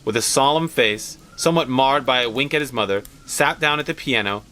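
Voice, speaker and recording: Bright-voiced man reading a story aloud. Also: mid-range voice, a little fast, clean tone. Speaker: story reader. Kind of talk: scripted narration, expressive read-aloud.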